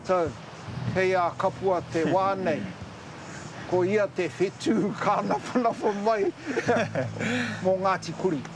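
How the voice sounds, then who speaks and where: An older man with a Māori New Zealand English accent speaks slowly and ceremonially at a gathering, in a high voice. Clear outdoor noise sits behind his words. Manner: measured, ceremonial, mixes in Māori phrases.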